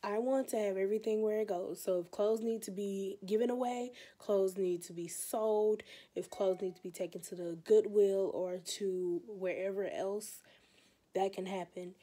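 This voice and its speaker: A young American woman with a stuffy, hoarse cold voice, chatting casually and rambling in a tired way, recorded on a phone held close in her bedroom. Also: mid-range voice, steady tone, normal speed, warm tone. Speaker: beauty vlogger. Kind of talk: casual vlog chat. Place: bedroom, indoors.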